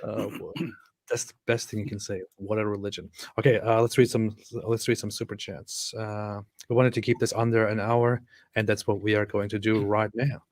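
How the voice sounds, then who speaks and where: A man's voice: fairly low pitch, flat delivery, moderate pace, a little mellow, no room sound. A young man co-hosting a casual chat, speaking dryly and sardonically while reading out viewer messages. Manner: dry, sardonic, reads viewer messages aloud. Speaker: co-host.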